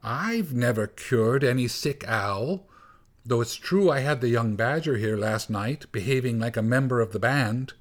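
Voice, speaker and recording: A man's voice: medium pitch, measured pace, close to an everyday voice. A man voicing a blunt, rather petulant young cellist who is puzzled by his visitors.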